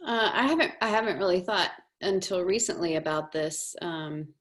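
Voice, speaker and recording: Southern woman host, lower register, hesitant and searching, soft warm-toned delivery. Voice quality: soft, honeyed voice. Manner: halting, many fillers and restarts. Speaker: webinar host. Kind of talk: casual conversation.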